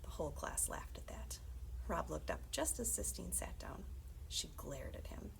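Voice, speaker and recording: A woman narrating a children's novel aloud in an expressive storytelling style. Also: on the low side, normal speed, vocal fry, whispered. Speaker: librarian reading aloud.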